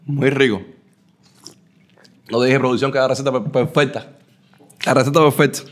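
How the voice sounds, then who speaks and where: A man's voice: medium pitch, steady tone, normal speed. A man hosts a laid-back talk show over drinks. He teases his guest, stumbles into repeated words and leans on street slang, in an ordinary mid-range male voice. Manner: playful, teasing, repeats himself, lots of slang. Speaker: podcast host. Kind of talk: casual interview over drinks.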